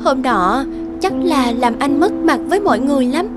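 A young cartoon woman with a high voice, anxious and hesitant in love. She speaks in dubbed animated dialogue over clear background music. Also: moderate pace. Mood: apologetic, reluctant. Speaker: voice actor in an animated moral tale. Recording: music behind the voice.

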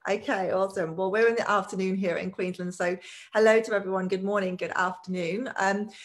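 A woman's voice: mid-range voice, steady tone, fairly quick. Woman host, upbeat, filler-heavy, interviewing on a video call. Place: home room.

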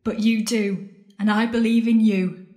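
A woman's voice: a little high, fairly even intonation, a little slow, warm tone, lightly acted. An actress playing a defiant, worldly woman in an audio drama, intense and sardonic as she challenges her lost love. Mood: resolved, loving. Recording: echoey, roomy sound.

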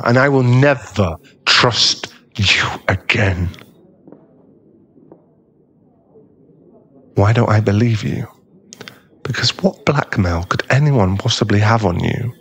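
Guy doing a bitter young school bully for asmr boyfriend audio, raspy, takes his time, sweary n hurt. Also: fairly low pitch. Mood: resentful, demanding. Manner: aggrieved, swearing, scornful, dropping into breathy muttering. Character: bitter, heartbroken young male school bully, gruffly protective. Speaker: ASMR roleplay voice actor.

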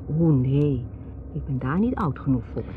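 A woman's voice: very deep voice, animated, normal speed. An actress playing a guarded, troubled society mother in a radio drama, speaking with hesitant reserve. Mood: matter-of-fact. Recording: noisy, muffled old radio drama recording.

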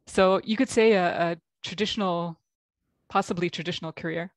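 Belgian woman, american accent, lowish voice, thoughtful with lots of ums, dry video call. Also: fairly even intonation, normal speed, dry recording. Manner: reflective, many ums and restarts. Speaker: guest, executive coach. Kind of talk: interview over video call. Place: home room.

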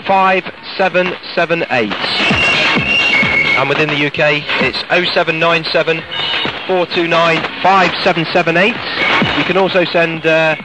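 A man's voice: on the high side, fairly even intonation, a little slow, rough recording. A British man presenting live radio in a chatty, informal, ad-libbed way, broadcast outdoors over shortwave. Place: outdoors in the countryside. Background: loud music.

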